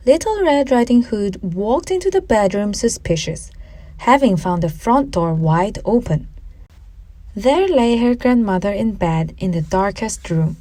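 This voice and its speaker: Female audiobook narrator for children's fairy tales, unhurried, animated intonation, dry close sound. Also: mid-range voice, no room sound.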